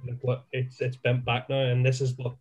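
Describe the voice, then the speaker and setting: A man's voice: medium pitch, monotone, normal speed, a little mellow, slightly rough, highs cut off. A man with a Northern Irish accent, a minister co-hosting a podcast, talking in a reflective, self-deprecating and rambling way. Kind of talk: casual podcast chat.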